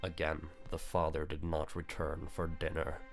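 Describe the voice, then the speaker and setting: A man reading a visual novel aloud as narrator, in a grave, deliberate storytelling manner. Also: a bass voice, monotone, gravelly, music in the background.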